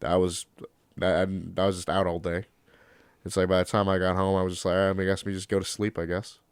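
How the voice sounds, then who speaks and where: A man's voice: deep voice, flat delivery, brisk, clear, resonant tone, dry recording, clean studio sound. A young man co-hosting a podcast, stuffed up from a cold, talking loosely and swearing a lot while rambling. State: congested from a cold. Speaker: podcast co-host. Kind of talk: casual chat.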